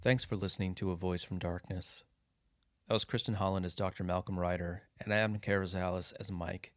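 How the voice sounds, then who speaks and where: A man's voice: low-pitched, fairly even intonation, brisk, no room sound. A man reading the closing credits of an audio drama podcast in a plain, informative way. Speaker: podcast announcer. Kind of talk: scripted outro announcement.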